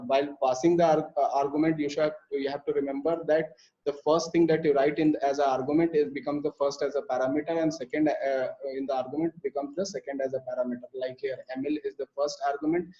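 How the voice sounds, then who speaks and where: A man's voice: a little high, dark tone. A young man teaching a live programming lesson, explaining step by step with frequent fillers and restarts. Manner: hesitant, many fillers and restarts while explaining code. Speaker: programming instructor. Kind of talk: live online coding lesson. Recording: muffled, lo-fi live stream audio.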